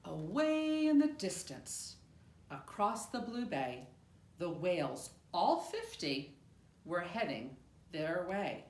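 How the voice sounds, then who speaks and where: A woman reading a rhyming picture book aloud in an expressive, measured storytelling manner with deliberate pauses. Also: fairly low pitch, slight background noise. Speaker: storybook reader. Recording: roomy, echoing room sound.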